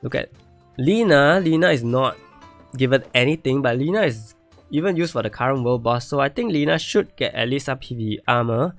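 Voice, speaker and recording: A man's voice: mid-range voice, animated, brisk, no echo, faint music behind. A man streaming a game and reviewing viewer accounts, thinking aloud and repeating himself as he starts to lose his voice. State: losing his voice. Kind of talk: casual live-stream commentary.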